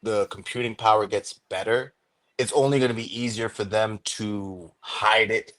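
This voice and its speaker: A man's voice: lowish voice, fairly even intonation, moderate pace, a bit bright. A man talking in a casual chat as a guest, eager and filling his speech with "like" and "um". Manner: enthusiastic, many fillers like "like" and "um". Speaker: guest content creator.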